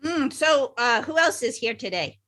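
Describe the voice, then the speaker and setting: Bright-voiced woman co-hosting a podcast. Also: high-pitched, animated, normal speed. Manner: friendly, asks questions. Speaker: podcast co-host. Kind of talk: casual interview hosting.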